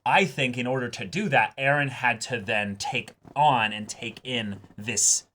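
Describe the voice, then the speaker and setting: A man's voice: medium pitch, unhurried, pushed and bright. A man hosting an anime discussion show, talking casually and analytically, stressing and repeating his points insistently.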